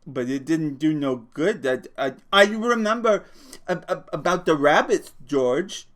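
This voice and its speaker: Male reader doing a gentle simple-minded big man; halting stammer, higher than his own voice, slow. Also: expressive. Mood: eager, childlike. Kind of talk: audiobook character voice.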